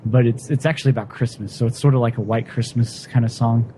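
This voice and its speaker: Relaxed man with a dark, mellow voice. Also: mid-range voice, quick pace, slight background noise, poor audio. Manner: relaxed, hesitant, sings a folk tune briefly. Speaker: guest sharing Christmas songs. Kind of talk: casual chat.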